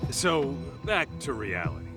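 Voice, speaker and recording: A slow-talking, easygoing detective. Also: male voice, mid-range voice, over music. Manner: slow, relaxed drawl. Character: laid-back male detective partner, easygoing. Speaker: private eye.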